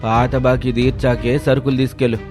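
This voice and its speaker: A man's voice: mid-range voice, level tone, a little fast, darkish tone, soft background music, natural voice. A man voicing a blunt grocery shopkeeper in a story, firm and dismissive. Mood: refusing.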